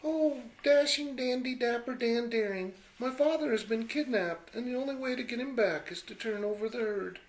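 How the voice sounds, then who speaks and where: A woman's voice: on the high side, moderate pace, few pauses, natural voice. A woman playing a dainty, lovestruck damsel in a comedy western radio play, melodramatic and sweetly mannered. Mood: distressed.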